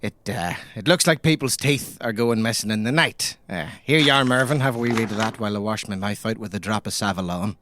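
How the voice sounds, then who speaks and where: A man with a Northern Irish accent playing a gruff, bossy, blunt local in improvised comedy. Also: fairly low pitch, brisk, few pauses, noisy background, close to an everyday voice. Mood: blunt, insistent. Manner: blunt, colloquial. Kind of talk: improvised comedy role-play.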